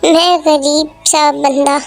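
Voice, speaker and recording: A performer voicing kindly, polite characters in a moral storybook tale, speaking in a measured, formal way. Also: high voice, flat delivery, gravelly, exaggerated voice. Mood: humble. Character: kind, generous king and humble poor woodcutter. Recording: music behind the voice.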